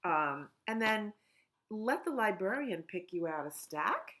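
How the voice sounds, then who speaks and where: A middle-aged woman at home, a children's librarian talking gently and in a sing-song way during a storytime. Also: mid-range voice, very animated, slow pace, a little hiss or hum. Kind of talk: storytime reading and chat for children.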